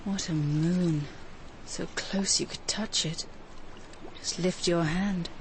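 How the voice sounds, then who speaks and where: A woman's voice: fairly low pitch, fairly even intonation, takes it slowly, slight background noise. An actress playing an intense, self-absorbed woman musing to herself in short phrases in a radio drama monologue. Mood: rapturous.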